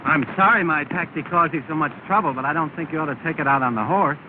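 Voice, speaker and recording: A man's voice: on the high side, quick pace, lightly acted. An actor playing a polite, good-natured young man, courteous and mildly witty, in 1930 film acting. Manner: courteous, mild, dryly humorous. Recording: scratchy, tinny 1930 film soundtrack with hiss.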